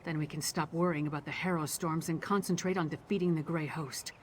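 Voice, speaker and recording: Woman playing a brisk, pragmatic adventurer, faint ambience behind. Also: low voice, steady tone, a little hiss or hum, natural voice. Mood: brisk, businesslike. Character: brisk, pragmatic female adventurer ally in a fantasy game. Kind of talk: scripted video game dialogue.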